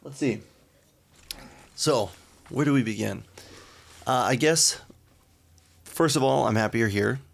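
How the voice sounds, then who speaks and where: A man hosting a podcast, chatty and joking, often pausing with fillers. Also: medium pitch, vocal fry, slightly rough. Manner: joking, upbeat, hesitant with fillers. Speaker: podcast host. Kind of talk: casual interview chat.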